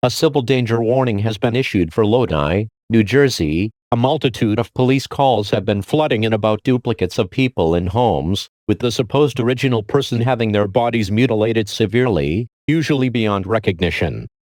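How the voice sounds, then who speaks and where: A man playing a calm, formal emergency alert announcer, reading a script in a measured, impersonal and grave way. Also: fairly low pitch, no room sound, studio quality, lightly acted.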